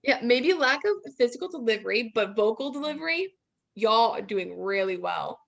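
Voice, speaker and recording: A woman's voice: fairly high pitch, lively intonation, normal speed, gravelly. A young woman giving casual feedback as a guest judge on a video call, hesitant and tactful. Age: young adult. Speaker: guest judge, student teacher. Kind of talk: casual spoken critique.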